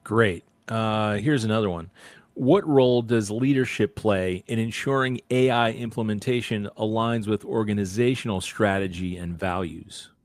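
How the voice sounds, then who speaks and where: A man's voice: on the low side, moderate pace, no echo. A man moderating a question-and-answer session, reading out audience questions.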